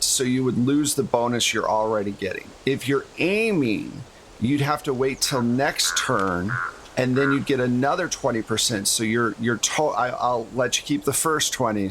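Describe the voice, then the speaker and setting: A man's voice: mid-range voice, a bit bright, slight background noise, low-quality recording. A man running a tabletop role-playing game as game master, explaining rules and scenes in a casual, conversational way. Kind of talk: casual tabletop actual-play narration.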